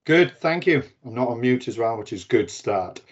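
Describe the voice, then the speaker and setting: A man's voice: medium pitch, lively intonation, moderate pace, breathy edge. A man in his forties with a Welsh accent, giving a chatty, self-deprecating talk as a guest speaker on a video call. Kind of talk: webinar talk. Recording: dull lo-fi video call audio.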